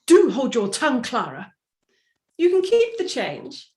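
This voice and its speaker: Woman as a high-voiced, imperious genteel mother. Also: wildly swooping pitch, unhurried, darkish tone, heavily acted. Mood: brisk, imperious. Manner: prim, formal, imperious. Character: genteel mother, commanding, politely insistent. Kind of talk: theatrical staged play reading.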